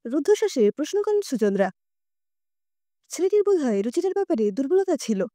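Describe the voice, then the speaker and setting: A woman's voice: high voice, sing-song, fairly quick, mellow, no room sound, studio quality. A woman narrating a scripted audio story expressively, as a storyteller.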